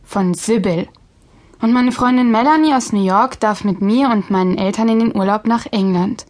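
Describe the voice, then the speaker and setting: A woman's voice: medium pitch, moderate pace, a little mellow, close to an everyday voice. A woman playing a breezy, bossy young girl in a children's audio drama, talking in a colloquial way. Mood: chatty. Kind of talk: German children's audio drama acting and narration.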